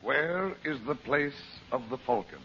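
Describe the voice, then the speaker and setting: A man's voice: medium pitch, expressive, measured pace. A man playing a resolute, authoritative uncle and adventurer hero in an old radio serial, earnest and theatrical. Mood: stern. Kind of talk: 1930s-40s radio adventure serial acting. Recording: muffled, very noisy old radio recording.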